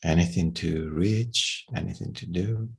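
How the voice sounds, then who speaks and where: A man leading a guided meditation in a gentle, unhurried way, with long pauses between phrases. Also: sits low, creaky, slightly rough.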